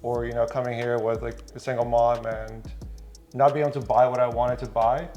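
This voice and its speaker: A man talks quickly in a casual, slangy podcast conversation. His pitch sits in the middle range, and faint music plays underneath. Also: level tone, a little mellow. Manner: informal, slangy. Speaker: podcast panelist, entrepreneur.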